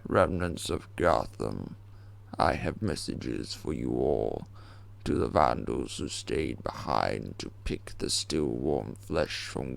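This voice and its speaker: Male fan dubber as menacing villain, deep creaky voice, slow theatrical proclamation, ominous, game dub. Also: heavily acted. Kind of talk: amateur video game fan dub acting.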